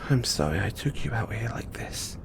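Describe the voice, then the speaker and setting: A man playing an excitable, anxious and tender mad scientist boyfriend in an intimate audio roleplay, speaking with halting stammers. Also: fairly low pitch, background noise. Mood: sad, tender. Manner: halting, stammering, breathy hesitations.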